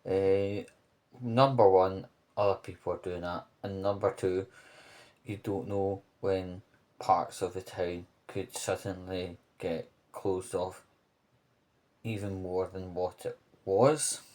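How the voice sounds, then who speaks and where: A Scottish man from the Glasgow area speaks slowly in a low, flat voice. He gives an informal vlog-style update from his kitchen, sounding earnest and a little hesitant as he goes. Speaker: vlogger. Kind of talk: informal spoken news update to camera. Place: home kitchen.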